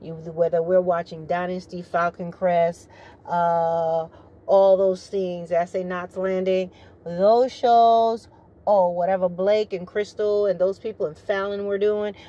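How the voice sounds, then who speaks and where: Middle-aged woman, retired soldier type, deep rich low voice, formal measured monologue. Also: little pitch movement, warm tone, muffled recording. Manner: measured, formal briefing-like delivery. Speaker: retired military vlogger. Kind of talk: opinionated monologue to camera.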